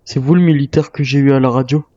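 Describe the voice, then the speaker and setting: A man's voice: mid-range voice, steady tone, quick pace, warm tone, highs cut off, natural voice. A man playing a guarded retired military man turned hunter in an improvised zombie game roleplay, with terse questions and replies. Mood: guarded, questioning.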